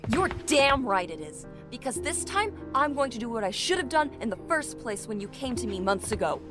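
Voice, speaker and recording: A woman's voice: fairly high pitch, big pitch swings, normal speed. A woman playing a tough, no-nonsense police captain in an audio drama, brusque, sardonic and commanding. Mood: scornful. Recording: dramatic music underneath. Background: music.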